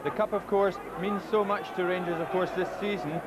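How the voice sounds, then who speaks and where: A man presenting a live football broadcast at a stadium, linking segments and naming arriving players in a brisk, informative way. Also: high voice, fairly even intonation. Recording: noisy broadcast with crowd behind.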